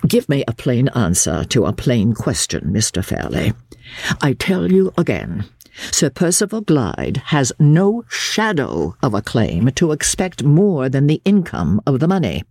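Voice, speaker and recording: A man's voice: medium pitch, huge pitch swings, measured pace, vocal fry, darkish tone, breathy edge, no echo, studio quality, voice pushed up, heavily acted. A man voicing a stern, self-controlled but exasperated lawyer in an audiobook, speaking formally and insistently. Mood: exasperated, urgent. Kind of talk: dramatised audiobook character voice.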